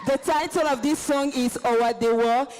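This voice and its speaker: Woman, Ivorian accent, high voice, earnest convention speech, echoey hall. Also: steady tone, normal speed, darkish tone, slight background noise. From Côte d'Ivoire. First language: Baoulé. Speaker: teacher and singer giving a talk.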